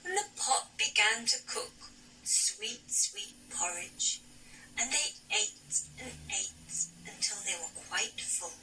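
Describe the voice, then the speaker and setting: A woman narrating a scripted fairy tale for a children's puppet show, with theatrical, deliberate phrasing. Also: medium pitch, animated, far from the mic, noisy background.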